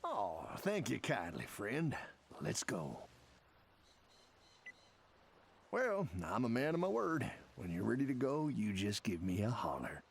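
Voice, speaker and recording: Folksy, gravelly smuggler, very animated. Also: male voice, fairly low pitch, slight background noise, exaggerated voice. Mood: sly, friendly. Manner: ingratiating, folksy drawl with drawn-out hesitations. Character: folksy, sly smuggler, greedy trader and guide.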